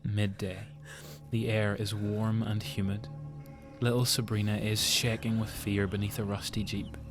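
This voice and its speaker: A man with a Northern Irish accent reading scripted, suspenseful dramatic story narration in deliberate phrases. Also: fairly low pitch, level tone. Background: music.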